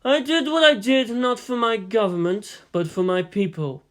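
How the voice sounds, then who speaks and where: A man voicing a weary, thoughtful statesman and historian who lectures in a measured, philosophical way in a story reading. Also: high-pitched, a bit bright, voice pushed up. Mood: reflective.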